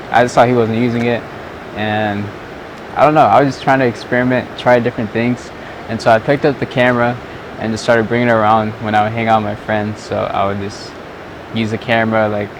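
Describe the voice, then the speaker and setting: A young man from Southern California, a vlogger and photographer, chatting loosely to the camera outdoors at sunset, rambling with lots of "like"s. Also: mid-range voice. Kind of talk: casual chat to camera. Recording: outdoor noise with traffic.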